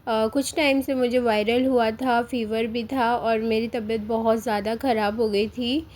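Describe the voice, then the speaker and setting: A hoarse Indian woman in her thirties with a sore throat, narrating her vlog in a soft, unhurried voice-over with frequent pauses. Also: fairly high pitch, level tone. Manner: soft, unhurried, pauses often with "uh", mixes English into Hindi. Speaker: vlogger. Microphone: close voice-over mic.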